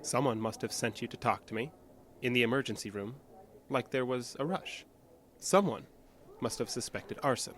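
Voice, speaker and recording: A man's voice: medium pitch, normal speed, fairly bright tone, a little hiss or hum, lightly acted. An actor playing a stubborn, guarded diner manager in an audio drama, terse and insistent in his answers. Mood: guarded, defensive. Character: guarded, stubborn male diner assistant manager under questioning.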